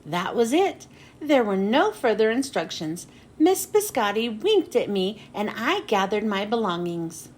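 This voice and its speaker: A woman narrating a children's storybook expressively, with dramatic pauses and drawn-out phrase endings. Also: medium pitch, very animated. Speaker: children's book read-aloud host. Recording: noticeable background noise.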